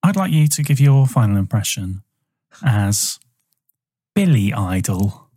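A man with a lowish pitch gives his final impressions of an album on a podcast. He talks in a measured, thoughtful way, pausing on ums. His voice is mellow and dark, with a dry, close sound. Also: big pitch swings, slightly rough, no room sound. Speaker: podcast co-host, album reviewer. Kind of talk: casual review chat.

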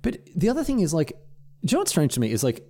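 A young man co-hosts a laid-back chat. His pitch swings widely as he jokes around, and he slips into playful put-on voices when he quotes people. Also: wildly swooping pitch, racing, dark tone. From Australia. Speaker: podcast co-host. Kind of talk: casual chat.

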